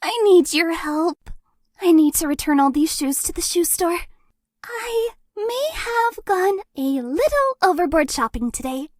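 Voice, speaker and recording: A woman voicing a bubbly, shy, lovesick ghost girl in a dating game, with a girlish, breathy, cutesy voice. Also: big pitch swings, measured pace, dry recording, clean studio sound, exaggerated voice. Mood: needy, pleading. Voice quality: very high, girlish voice. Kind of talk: anime-style dating game character lines.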